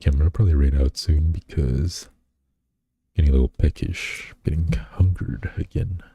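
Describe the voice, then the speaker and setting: Very deep, husky man chatting on stream. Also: moderate pace, warm tone, no room sound. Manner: rambling, self-deprecating, many fillers and restarts. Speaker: VTuber streamer. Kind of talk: casual live-stream chat, reading chat aloud.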